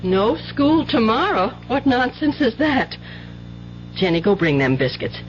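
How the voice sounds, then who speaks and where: A woman's voice: on the high side, sing-song, a little slow. An actress playing a weary, exasperated mother in a 1970s radio drama, with blunt, folksy country talk. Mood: exasperated, brisk. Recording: muffled, hissy 1970s radio recording.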